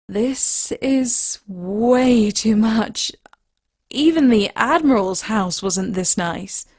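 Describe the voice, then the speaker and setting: A woman playing an emotional, traumatized young woman in an audio drama, with a husky voice and halting delivery. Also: a little high, a little mellow, no room sound, clean studio sound, full character voice. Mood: awed. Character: traumatized young woman learning her lost family history.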